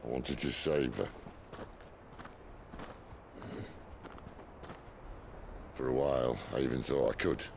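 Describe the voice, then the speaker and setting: An actor playing a roguish, world-weary older outlaw leader in a video game, gravelly and wry, with a Northern English accent. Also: male voice, very deep voice, fairly quick, background noise. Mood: rueful. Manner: colloquial, wry. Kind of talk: dramatic video game voice acting.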